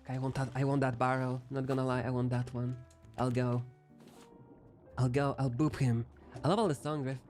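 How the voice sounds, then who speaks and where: A man's voice: medium pitch, little pitch movement, a little fast, long pauses, a little mellow, soft background music. A young man streaming live, chatting casually while gaming in a playful, rambling, self-deprecating way.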